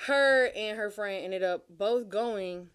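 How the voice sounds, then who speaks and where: A young woman guest telling her life story in a relaxed, casual way, swearing now and then. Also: medium pitch, takes it slowly, clear, resonant tone. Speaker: podcast guest. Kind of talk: casual chat interview.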